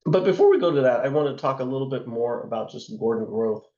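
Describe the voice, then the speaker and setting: A man teaching a class on investing, hoarse from a sore throat, talking in a loose conversational way with plenty of fillers and asides. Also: mid-range voice, lively intonation, fast talker, warm tone, roomy sound, muffled recording. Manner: loose and conversational, many fillers and tag questions. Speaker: instructor. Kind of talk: lecture on investing.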